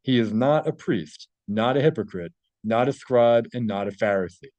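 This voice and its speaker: A man's voice: mid-range voice, moderate pace, darkish tone, dry recording. A man reading a translated article aloud and adding his own informal commentary.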